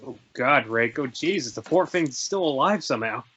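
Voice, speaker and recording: A man playing an earnest, shaken young countryman, speaking haltingly in an amateur screenplay table read. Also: medium pitch, lively intonation, fairly bright tone, lightly acted. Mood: distressed, resolute. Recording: dull lo-fi audio with faint noise.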